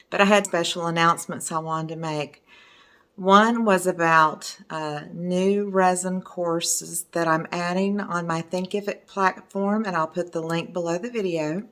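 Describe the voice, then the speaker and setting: A middle-aged woman with a hoarse voice, chatting casually as a resin art teacher while showing her work at home. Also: on the low side, fairly even intonation, measured pace, fairly bright tone. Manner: chatty, enthusiastic, meandering. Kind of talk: casual video talk showing artwork.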